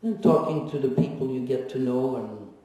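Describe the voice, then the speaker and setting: A man in his seventies speaks in a mellow, darker voice, reflective and gently teasing, with many pauses. He is picked up from a distance, and the sound rings through a large echoey hall. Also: mid-range voice. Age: 70s. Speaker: guest speaker, Holocaust survivor. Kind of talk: personal testimony in a lecture Q&A. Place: lecture hall. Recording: echoey hall recorded from far off.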